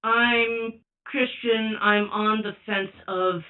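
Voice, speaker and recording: A young man with a high voice calling in anonymously, speaking slowly and hesitantly with many restarts. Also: fairly even intonation. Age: young adult. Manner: slow, hesitant, restarts often. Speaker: caller. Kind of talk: anonymous call-in sharing. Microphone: phone call. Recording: tinny phone line from far off.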